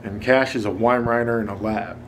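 A man's voice: medium pitch, steady tone, brisk, a bit bright, a little hiss or hum. An American man in his forties chatting casually and informally to viewers as a vlogger. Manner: informal, colloquial. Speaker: outdoor vlogger. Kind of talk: casual vlog chat.

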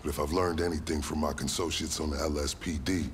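A man playing a calculating police officer in a video game cutscene, speaking deliberately in formal, wordy phrases with some swearing. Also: very deep voice, fairly even intonation, a little hiss or hum. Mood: satisfied, sly. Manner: deliberate, verbose, formal vocabulary mixed with swearing. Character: smooth, calculating rookie cop with a grudge against corruption.

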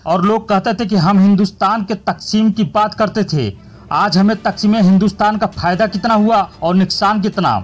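A man's voice: high voice, moderate pace. A man reading a scripted news voice-over in a dramatic, declarative manner. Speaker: news narrator.

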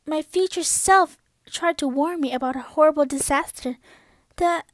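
A woman's voice: high voice, no echo. A young woman voicing an earnest, anxious young female cartoon pony in an urgent, emphatic way. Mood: urgent warning. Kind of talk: amateur cartoon voice impression.

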